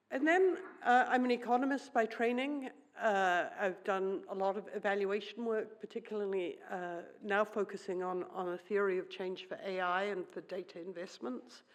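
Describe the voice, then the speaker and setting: A middle-aged woman who grew up in New Zealand and whose first language is Swedish, giving a conference keynote in a wry, conversational manner and thinking aloud with many fillers. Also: mid-range voice. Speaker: keynote speaker.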